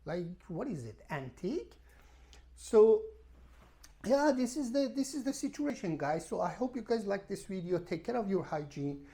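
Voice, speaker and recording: A woman's voice: mid-range voice, big pitch swings, normal speed, warm tone. A woman who is a non-native English speaker, ranting casually to camera as a travel vlogger in an emphatic, repetitive, exasperated way. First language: not English. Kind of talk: casual rambling rant to camera.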